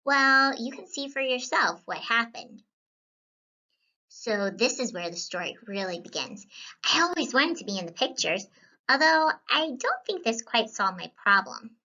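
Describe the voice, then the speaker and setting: A woman plays a young student painter telling a storybook adventure. The voice is fairly high and very lively, with a bright edge. The delivery is wry and full of playful asides, on a slightly lo-fi recording. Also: a little slow, heavily acted. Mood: playful, chatty. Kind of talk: scripted children's read-aloud.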